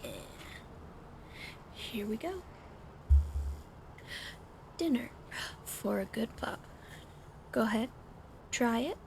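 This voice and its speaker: A woman whispering softly in an ASMR roleplay, caring but gently bossy, recorded close to the mic at home with a fan running behind her. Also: on the high side, lively intonation, extremely slow, pauses often. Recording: fan noise in background.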